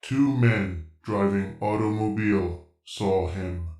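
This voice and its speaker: A man playing a childlike, coldly obedient killer machine man in a radio horror play, talking in halting, broken robotic phrases. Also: fairly low pitch, a little mellow, roomy sound, faint music behind. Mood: flat, obedient. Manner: halting robotic delivery in broken third person. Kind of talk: old-time radio horror acting.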